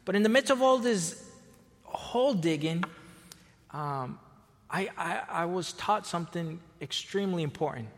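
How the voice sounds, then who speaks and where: A man's voice: high-pitched, fairly bright tone, big-room sound. A man from Costa Rica preaching a sermon, telling stories and often repeating words as he starts a sentence. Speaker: pastor.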